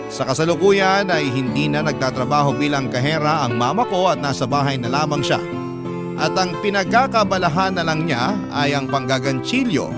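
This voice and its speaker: A man with a Filipino accent reading scripted first-person stories as a radio drama host. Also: fairly high pitch. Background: guitar music.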